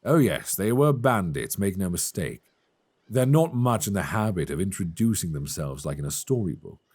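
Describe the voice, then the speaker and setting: A man playing a calm, reassuring elf adventurer in an intimate fantasy ASMR roleplay, speaking gently and soothingly close to a binaural microphone. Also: low-pitched, breathy edge, exaggerated voice. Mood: sombre, reassuring. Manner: gentle, soothing, measured delivery.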